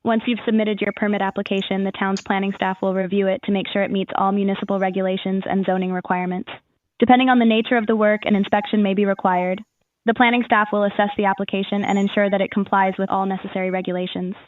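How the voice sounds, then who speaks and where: A woman's voice: medium pitch, little pitch movement, fast, no room sound, phone-quality sound. A woman's voice as an AI assistant, giving scripted, formal informational answers in a customer-service manner.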